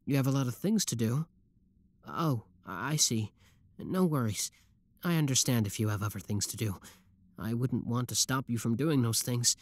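A man's voice: mid-range voice, moderate pace, no room sound, close to an everyday voice. A man playing an obsessive, lovestruck young schoolboy villain in a roleplay audio drama, talking intimately to the listener. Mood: shy, hesitant. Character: shy schoolboy turned smug, obsessive yandere young villain.

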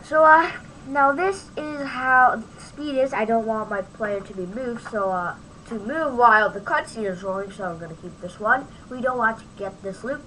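A woman giving a casual, off-the-cuff software tutorial, thinking aloud as she demonstrates on a home microphone recording. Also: a little high, normal speed, a little hiss or hum, poor audio. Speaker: tutorial host.

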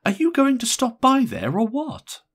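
A man puts on an anxious, prying woman for an audiobook tale. The pitch is raised far up and swings widely. The manner is impatient, urgent and insistent. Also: wildly swooping pitch, moderate pace, husky, heavily acted. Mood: inquiring. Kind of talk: audiobook character voice.

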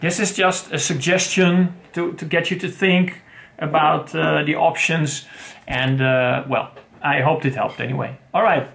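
Gravelly middle-aged man, rambling gear talk. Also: a little high, steady tone, unhurried, a bit bright. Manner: rambling, many fillers and restarts. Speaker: channel host. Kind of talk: casual gear review. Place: home study with bookshelves.

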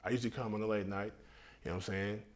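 A man's voice: mid-range voice, expressive, fast talker, close to an everyday voice. An American man in his thirties playing a laid-back, brazen late-night hookup guy in a comedy sketch, talking in street slang. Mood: laid-back bragging. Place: furnished indoor room, filmed sketch.

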